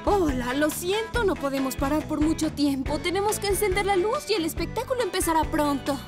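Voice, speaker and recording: A woman voicing a busy, practical grown lady scooter in a children's cartoon dub, brisk and matter-of-fact. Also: very high-pitched, expressive. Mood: apologetic, hurried. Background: children's music.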